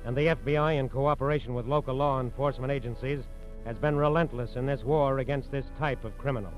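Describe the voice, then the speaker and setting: An American man giving grave, measured scripted narration for a 1940s radio crime drama. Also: medium pitch, steady tone. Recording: dull vintage radio, music behind.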